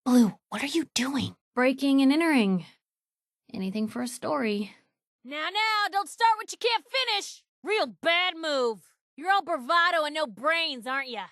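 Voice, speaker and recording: A woman's voice: high-pitched, expressive, measured pace, fairly bright tone, no room sound, clean studio sound, full character voice. An actress playing a plucky, wisecracking young woman reporter and caring companion in a video game, with a wry, sardonic manner. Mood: taunting, tough.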